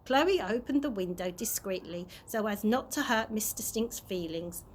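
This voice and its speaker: A woman reading a children's story aloud with expressive, animated narration. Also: mid-range voice, normal speed, a little hiss or hum. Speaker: early years practitioner reading aloud.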